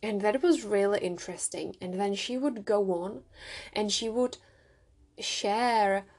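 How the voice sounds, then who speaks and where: A woman's voice: mid-range voice, measured pace, darkish tone. A young adult woman with a Czech accent, hosting a solo podcast and telling personal stories in a reflective way with small restarts. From Czech Republic. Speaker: podcast host.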